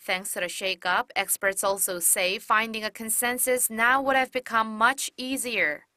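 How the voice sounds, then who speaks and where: Female TV reporter for a voice-over package, measured, formal, sits a bit high. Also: steady tone, sharp tone, no room sound, clean studio sound. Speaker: news reporter. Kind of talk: scripted news voice-over.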